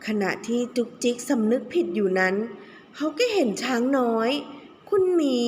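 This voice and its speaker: A woman teacher telling a children's story expressively, stretching key words for young listeners. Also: a little high. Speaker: kindergarten teacher telling stories. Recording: echoey room with faint background noise.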